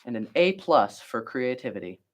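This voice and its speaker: A man reads a children's story aloud as a guest. His delivery is expressive, with wide, lively swings in pitch. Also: normal speed.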